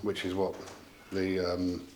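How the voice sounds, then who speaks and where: A man with a fairly low voice talks through technical equipment informally, as a company representative would. His delivery is halting, often pausing and trailing into asides. There is noticeable noise in the room behind him. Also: monotone, a little mellow, low-quality recording. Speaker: audio company representative presenting a product. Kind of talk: informal technical product explanation.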